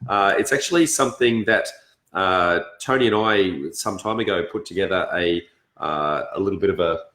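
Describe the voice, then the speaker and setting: An Australian man hosting a casual livestream, halting as he searches for words, with a raspy, congested voice from being ill. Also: low-pitched, fairly bright tone. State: congested from illness, blocked nose. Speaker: host of a property education session. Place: indoors on a couch, echoey room. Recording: roomy, echoing sound.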